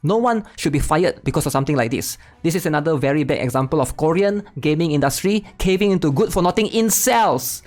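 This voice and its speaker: A man's voice: fairly high pitch, lively intonation, brisk, few pauses, a little mellow. Chatty man reading posts aloud. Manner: chatty, tags sentences with "right?". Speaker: gaming channel host.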